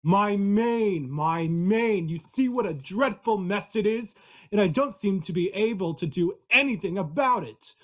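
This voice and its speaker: A storybook lion , as a woman puts him on, vain and hot-tempered, who blusters and roars. He speaks slowly and gruffly, dragging out his threats. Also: mid-range voice, expressive. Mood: menacing. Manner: roaring, blustering, slow and gruff.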